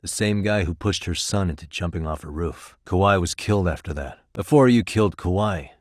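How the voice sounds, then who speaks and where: A man's voice: deep voice, fairly quick, no room sound, natural voice. A man playing a determined, streetwise detective in a video game, dry and probing in his terse delivery. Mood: intent, serious. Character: determined, streetwise male detective, dogged and wry. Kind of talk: scripted video game dialogue.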